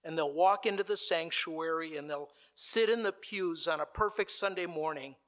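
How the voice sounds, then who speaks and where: A man preaching a sermon, his voice pitched a little high and ringing bright. He lets his delivery swell into a stirring, dramatic speech. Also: moderate pace. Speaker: pastor. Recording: played from an archive recording.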